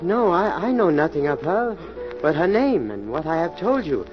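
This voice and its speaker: A man's voice: fairly high pitch, sing-song, moderate pace. A man playing a nervous, servile nightclub waiter in 1940s radio style, hesitant, stammering and deferential. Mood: anxious. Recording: muffled old radio recording, music behind.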